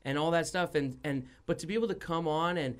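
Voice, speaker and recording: A man's voice: on the high side, quick pace. A young man hosting a casual studio interview, rambling, with frequent "like" and restarts. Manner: rambling, heavy use of "like", restarts. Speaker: podcast host. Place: radio studio.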